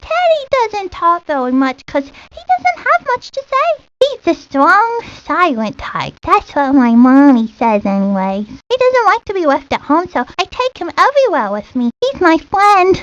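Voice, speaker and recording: A woman's voice: very high-pitched, big pitch swings, a little slow, few pauses, fairly bright tone, slight background noise, exaggerated voice. A woman voicing a sweet, doting little preschool child in a cartoon game. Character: sweet, doting tiny girl with teddy bear. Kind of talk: cartoon game character lines. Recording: dull lo-fi old game audio.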